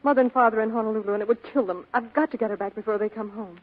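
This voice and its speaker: A woman's voice: fairly high pitch, steady tone, rapid-fire, close to an everyday voice. An actress playing an anxious, pleading young female client in a 1940s radio drama style, hesitant and earnest. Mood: distressed, pleading. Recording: tinny band-limited vintage radio broadcast.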